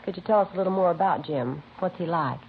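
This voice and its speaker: A brisk policewoman, played by an actress in a vintage radio crime drama. The voice is quick and creaky, probing in a reassuring, businesslike way. The sound is thin and narrow, like old radio. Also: lowish voice, slight background noise, lightly acted. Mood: calm, probing. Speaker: actor playing a policewoman. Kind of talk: 1950s radio drama acting.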